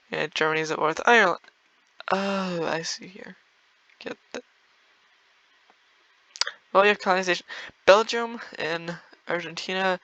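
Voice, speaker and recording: A young man with a fairly high, bright voice talks loosely through a strategy game, stumbling over his words and laughing now and then. His tone is smooth and clear, recorded close and dry with no room sound. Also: no echo, poor audio. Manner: rambling, filler-heavy, laughs often. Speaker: gameplay video creator. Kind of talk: casual gameplay commentary.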